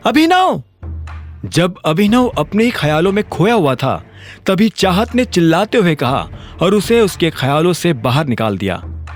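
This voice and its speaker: Male audio-series narrator, dramatic scripted delivery, fairly high voice with big pitch movement, music underneath. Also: very animated, moderate pace.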